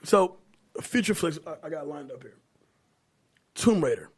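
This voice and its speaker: A man's voice: on the high side, expressive, a little slow, slightly rough. A man hosting a casual podcast chat, steering the topics in informal, slangy language. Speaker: podcast host.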